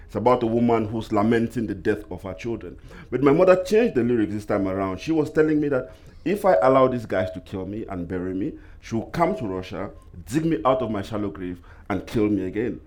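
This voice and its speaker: A man from Cameroon with a Cameroonian accent, a guest at a live talk, telling a personal story in a dramatic, vivid way and slowing down for weight. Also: on the low side, animated, darkish tone. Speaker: panel guest.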